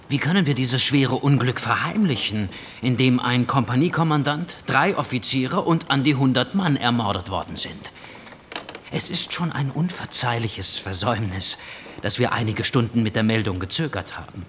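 Male actor as Ottoman subordinate officer, medium pitch, formal, reproachful, radio drama, faint noise. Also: fairly bright tone, close to an everyday voice. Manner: formal, deferential yet reproachful. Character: dutiful, indignant Ottoman officer addressing his superior.

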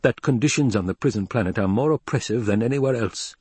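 A man's voice: mid-range voice, fast talker, a little mellow, slightly rough, dry recording. A man voicing a shrewd, bony old adviser in an audiobook, incisive, probing and contemptuous. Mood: probing, accusing. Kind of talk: dramatized audiobook reading.

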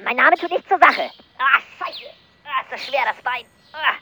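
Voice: sits high, animated, moderate pace, noisy background. A performer voicing a cranky male gold dwarf villain who threatens and groans in a comedic fan audio drama. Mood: menacing, grumbling.

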